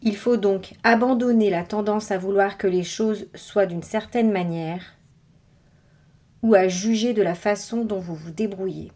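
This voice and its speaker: A woman leads a guided body scan as a meditation guide. Her voice sits at a medium pitch. She gives scripted instructions in a measured way, pausing between phrases. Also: mellow.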